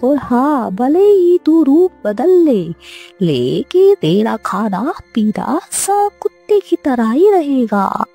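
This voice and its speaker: A woman voicing an angry old village woman who lays curses, in a stern, drawn-out scolding manner for a cartoon story. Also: high-pitched, very animated, warm tone, breathy edge, soft background music, pitched above the performer's own voice, heavily acted.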